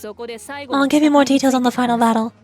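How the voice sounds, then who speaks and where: A woman's voice: high voice, fairly even intonation, fairly quick, faint music behind, lightly acted. Woman acting a solemn, noble female war leader.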